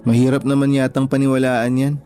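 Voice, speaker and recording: A man's voice: medium pitch, level tone, fairly quick, dark tone, natural voice. A young man playing a shy, anxious, apologetic college student in a Tagalog radio drama, speaking hesitantly. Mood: self-doubting. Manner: hesitant, self-doubting.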